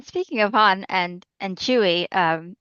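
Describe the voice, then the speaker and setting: A woman's voice: medium pitch, a little slow, fairly bright tone, clean tone, lo-fi sound. A woman interviewing a guest, asking curious questions in a friendly way.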